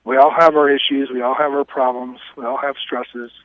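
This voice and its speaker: A man answering interview questions on a recorded telephone call, candid, with halting yet emphatic phrasing. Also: medium pitch, little pitch movement, fairly bright tone, dry recording. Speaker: union vice president, anonymous interviewee.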